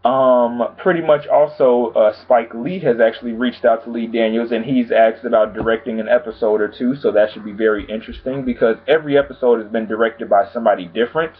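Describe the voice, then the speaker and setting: A man vlogging about TV news in a casual, chatty way, calm and low-key, with lots of filler phrases. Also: fairly quick, few pauses. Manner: chatty, frequent "pretty much" and "you know what I'm saying". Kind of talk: casual chat to camera. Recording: tinny phone-like sound.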